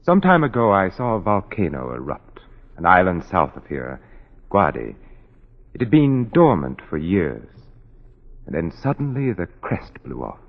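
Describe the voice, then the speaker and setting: A conscience-troubled lawyer in 1940s radio style, measured and formal. His voice sits low and moves expressively through its range. The recording is old and dull. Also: wildly swooping pitch, heavily acted. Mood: brooding. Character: grave, conscience-troubled male lawyer, guarded and authoritative.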